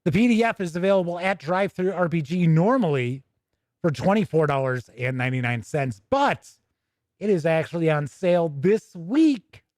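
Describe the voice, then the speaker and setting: Casting: American man, middle-aged gaming show host, slightly high voice, chatty livestream delivery, close and dry sound. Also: expressive, normal speed, a little mellow, no room sound. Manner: chatty, conversational, with playful asides. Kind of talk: casual livestream commentary.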